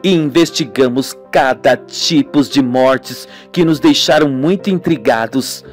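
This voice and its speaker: A man's voice: medium pitch, measured pace, close to an everyday voice. A man voicing a serious, direct female police investigator in an amateur radio soap, over music. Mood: grave.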